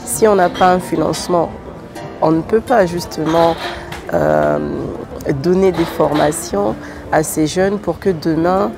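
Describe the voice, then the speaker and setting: A woman's voice: lowish voice, big pitch swings, measured pace. A woman from Congo-Brazzaville who heads a nonprofit association, answering questions hesitantly with many fillers. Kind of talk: interview answers. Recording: music playing under the voice.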